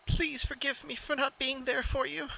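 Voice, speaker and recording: A man voicing a frightened, grief-stricken young female cartoon pony in a tearful, breathy, pleading manner. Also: extremely high pitch, flat delivery, normal speed, pushed and bright, lo-fi sound, slight background noise, voice pushed up, exaggerated voice. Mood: tearful, apologetic.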